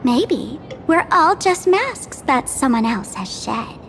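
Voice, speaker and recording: High-voiced, lilting young female trickster. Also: big pitch swings, slow pace, slight background noise, exaggerated voice. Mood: musing, subdued. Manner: teasing, theatrical, speaks of herself in third person. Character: mischievous young female masked trickster streamer, smug and theatrical. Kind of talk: video game character acting.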